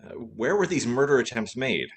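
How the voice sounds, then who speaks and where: A man's voice: medium pitch, expressive, normal speed, natural voice. A man playing a cheerful, wisecracking former private detective in a radio play, dry and flippant. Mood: alert, unruffled.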